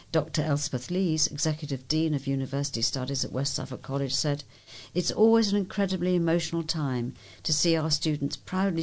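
Volunteer local news reader, medium-pitched voice, scripted read-aloud delivery.

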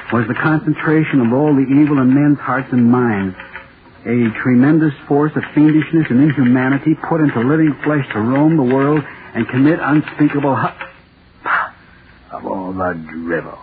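A man's voice: medium pitch, moderate pace, natural voice. Guy acting a haunted radio writer, stammery old-time horror drama, crackly tinny recording. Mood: musing, self-mocking. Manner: stammering, repeating himself frantically. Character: terrified radio playwright haunted by his own monster. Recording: scratchy, noisy 1930s radio recording.

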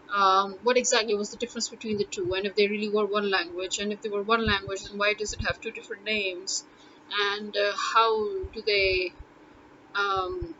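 A woman's voice: mid-range voice, a bit bright, highs cut off, a little hiss or hum. Rambling woman thinking aloud. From Pakistan. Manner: rambling, many ums and uhs, thinking aloud. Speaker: Urdu teacher. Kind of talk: casual spoken blog monologue.

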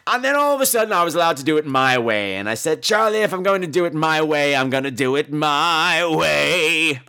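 A man with an American accent delivers a comedic monologue in a high-set voice. His pitch swoops widely as he exaggerates for effect. The delivery is campy, theatrical and freely profane. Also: animated, brisk, sharp tone, smooth. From United States. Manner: profane, blunt. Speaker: podcast host. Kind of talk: comedic personal storytelling monologue.

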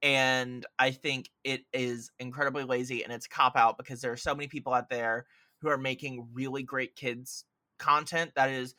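A man's voice: mid-range voice, flat delivery, normal speed, sharp tone, no room sound. A man chatting casually about films as a podcast guest, opinionated and emphatic, with sarcastic jabs and some swearing. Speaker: podcast guest film critic. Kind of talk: casual film discussion.

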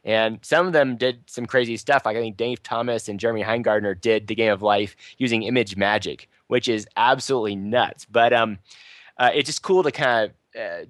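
A man's voice: lowish voice, dry recording. Chatty man with a dry close sound. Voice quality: fairly loud voice. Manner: loose, chatty, many restarts and fillers. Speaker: local Ruby user group organizer. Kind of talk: casual podcast panel talk.

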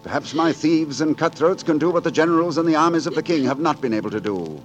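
A man's voice: fairly high pitch, lively intonation, fast talker, lo-fi sound, lightly acted. Male actor as gallant rogue poet, wide-swinging declamatory delivery, 1940s radio drama, music behind. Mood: tender, rueful. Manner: theatrical, poetic, declamatory. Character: gallant, roguish male gutter poet and rabble leader.

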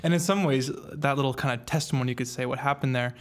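A man's voice: medium pitch, quick pace. A young man, hosting a casual interview in an informal, affirming way. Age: young adult. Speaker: podcast host, interviewer.

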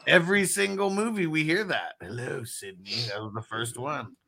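A man's voice: on the high side, big pitch swings, a little fast, vocal fry, sharp tone, a little hiss or hum. A man hosting a casual movie review podcast, steering the chat. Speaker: podcast host steering the discussion.

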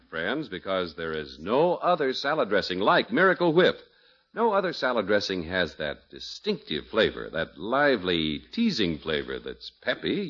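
Very animated 1950s radio announcer man. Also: mid-range voice. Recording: dull lo-fi old radio recording, music.

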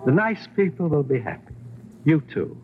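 High-pitched, oily radio-drama lawyer. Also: male voice, big pitch swings, moderate pace, soft background music. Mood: insinuating. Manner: evasive, unctuous, repeats himself formally. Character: oily, polite lawyer and go-between for a gangster. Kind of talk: 1940s-50s radio crime acting. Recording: tinny, noisy old radio recording.

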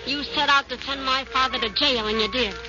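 An actress with an American accent playing a tough-talking young gangster's moll in a 1940s radio drama, scornful, slangy and sassy. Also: female voice, high-pitched, brisk, lightly acted. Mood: bitter, scornful. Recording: dull old radio recording with loud music.

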